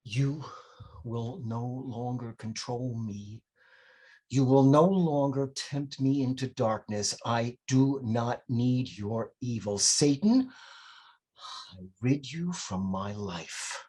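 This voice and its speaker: A man playing a priest and his bitter demonic alter ego in a screenplay read, with husky, dramatic, deliberate delivery. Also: mid-range voice, warm tone. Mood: resolute, defiant.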